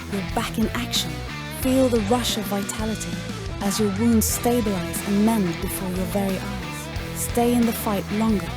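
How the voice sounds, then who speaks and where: A woman playing an enthusiastic announcer selling a battle stimulant in a dramatic sci-fi radio commercial. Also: lightly acted. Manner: rousing, emphatic sales pitch. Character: enthusiastic female pitch voice for a sci-fi combat stimulant. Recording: loud plucked-string music bed. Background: loud guitar music.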